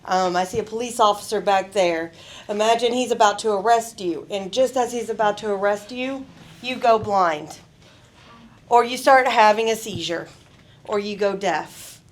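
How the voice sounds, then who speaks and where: A woman giving a college presentation, telling a story in a lively, chatty way. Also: mid-range voice, moderate pace. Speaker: student presenter. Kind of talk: class presentation. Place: college event room.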